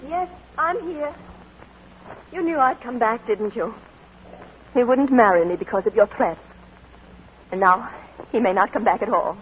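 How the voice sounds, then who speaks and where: A woman's voice: on the high side, unhurried, long pauses, lightly acted. A woman playing a defiant young niece. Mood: hurt. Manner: earnest, pleading. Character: young woman defying her stern uncle. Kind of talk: 1940s radio acting. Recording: old AM broadcast, narrow and hissy.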